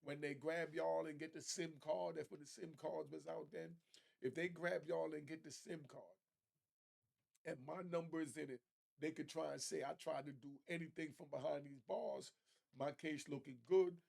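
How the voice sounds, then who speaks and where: A man's voice: a little high, steady tone, normal speed, vocal fry, darkish tone. A middle-aged man giving casual, slangy commentary as a streetwise host who explains things conversationally.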